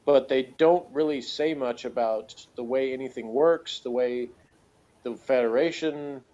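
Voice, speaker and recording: A man with a mid-pitched voice rambles through a casual review discussion. He talks in a halting, wordy way, circling his points. The sound is a little dull and lo-fi. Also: warm tone. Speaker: podcast co-reviewer.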